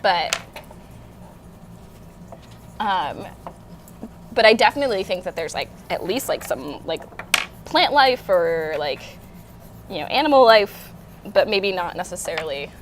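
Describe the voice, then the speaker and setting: A woman's voice: lowish voice, lively intonation, measured pace, long pauses. A woman co-hosting a craft show, chatting casually around a table indoors. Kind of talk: casual chat. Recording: noisy room with table sounds.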